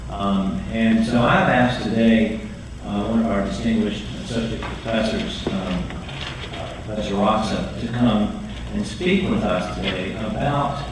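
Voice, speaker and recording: Low-voiced man chairing in echoey hall. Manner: measured, hesitant with ums. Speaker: board meeting chair. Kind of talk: formal meeting remarks. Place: large meeting hall. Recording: echoey hall, distant mic, noise.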